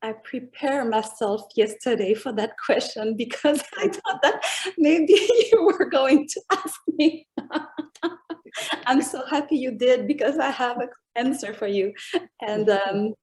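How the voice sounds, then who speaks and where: A woman's voice: fairly high pitch, slow pace, darkish tone. A woman with a French accent, a French native speaker, answering interview questions thoughtfully and searching for English words. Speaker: music artist guest.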